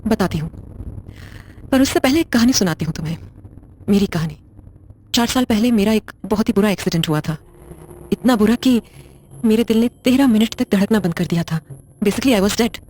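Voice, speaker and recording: A woman's voice: medium pitch, lively intonation, normal speed, pauses often, mellow, slight background noise, lightly acted. A woman playing a blunt, controlling widowed wife in an audio drama, speaking in a curt, sardonic, interrogating way. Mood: grave, recounting. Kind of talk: Hindi audio drama acting.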